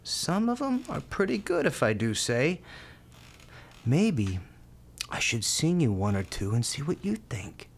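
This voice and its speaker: A woman's voice: sits low, big pitch swings, unhurried, heavily acted. A woman acting in a radio play as a brash, tender, streetwise young hospital volunteer, speaking informally with an American accent. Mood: tender, chatty. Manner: informal, defiant, slangy.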